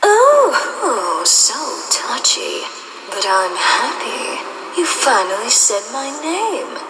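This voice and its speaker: A woman playing a smug, menacing female nightmare spirit in an audio drama, mocking and sweetly threatening over drawn-out words. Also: a little high, wildly swooping pitch, reverberant, distant mic, noisy background, exaggerated voice. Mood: smug taunting.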